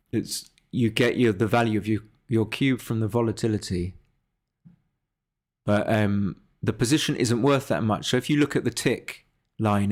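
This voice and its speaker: Male game streamer, lowish voice, casual and hesitant, reasoning through plays aloud. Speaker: livestreamer analysing his backgammon games. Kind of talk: casual live game commentary.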